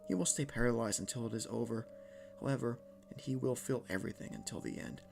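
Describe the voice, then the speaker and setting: A man voicing a hulking male spider monster that speaks in a low, hushed, unhurried way. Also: vocal fry, soft background music, natural voice. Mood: calmly menacing.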